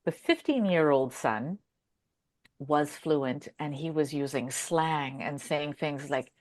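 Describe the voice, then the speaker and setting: A woman from Brazil in a casual podcast conversation, telling stories in an animated way and acting out quotes. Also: sits low, slow pace. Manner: animated storyteller who acts out quotes.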